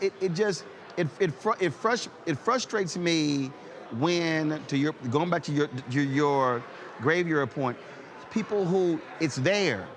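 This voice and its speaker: Emphatic middle-aged man, fairly high voice. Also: fairly bright tone. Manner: emphatic, repeats words and phrases as he builds a point. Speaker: talk show host. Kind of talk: conversational interview with personal anecdotes.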